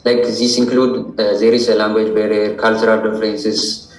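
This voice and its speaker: A man's voice: lowish voice, flat delivery, normal speed. Ethiopian-accented young man, roomy distant sound. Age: 20s. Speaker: interview guest, international student. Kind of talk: formal interview answers. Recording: roomy echo, distant microphone.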